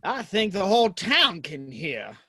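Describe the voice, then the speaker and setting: An earnest American cowboy in a Western audio play, performed by a man. His voice is high and bright and moves around a lot. He is folksy and a bit hesitant, shy but stubborn. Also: big pitch swings, a little slow, no echo, clean studio sound, full character voice. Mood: defiant. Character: earnest, plain-spoken cowboy, shy yet defiant.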